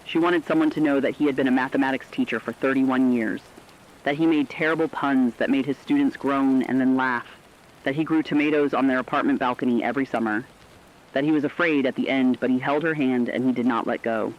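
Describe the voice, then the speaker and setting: A man playing a solemn, compassionate radio broadcaster, delivering a scripted monologue earnestly and deliberately. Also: mid-range voice, fairly even intonation, muffled recording, close to an everyday voice. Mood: solemn, mournful. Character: solemn, compassionate male broadcaster chronicling a collapsing world.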